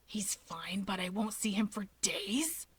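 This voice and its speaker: A woman voicing a defensive, awkward young male villain leader in an audiobook, speaking in a terse, breathy, insistent hiss. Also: mid-range voice, a little slow, no echo. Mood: worried, stubborn.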